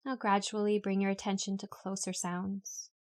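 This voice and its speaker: Female meditation guide, mid pitch, flat and steady intonation, calm unhurried scripted instruction. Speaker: yoga nidra meditation guide.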